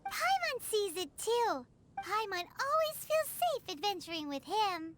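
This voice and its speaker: A woman voicing a tiny, childish, cheeky fairy companion in a video game, greedy, excitable and exclamatory. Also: very high-pitched, a little slow, heavily acted. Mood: cheeky, excited. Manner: refers to self in third person, exclamatory. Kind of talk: animated video game character acting. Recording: game music behind the voice.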